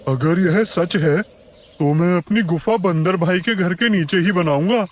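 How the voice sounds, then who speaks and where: A man's voice: high-pitched, a little slow. A man voicing an earnest, easily scared bear in a cartoon forest story. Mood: pondering.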